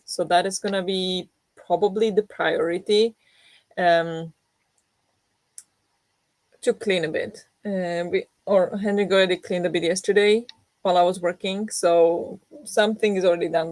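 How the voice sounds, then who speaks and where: A woman's voice: on the low side, darkish tone, no echo. Groggy young woman in casual chat. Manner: rambling, self-deprecating, many fillers and restarts. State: just woken up, groggy and deep-voiced. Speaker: book vlogger hosting a reading sprint.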